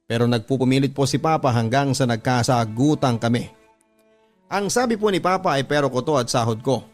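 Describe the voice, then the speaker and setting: A Filipino man tells a personal story in the style of a radio drama letter reading. His voice sits at a medium pitch, and his delivery is polite and earnest. Music plays clearly under his narration. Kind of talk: scripted first-person confessional storytelling. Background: background music.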